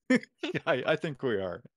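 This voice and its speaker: A man's voice: fairly high pitch, fairly bright tone, dry recording, studio quality. A man acting as game master of a tabletop game, talking with lots of fillers and restarts. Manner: hesitant, many fillers and restarts. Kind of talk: running a tabletop game session.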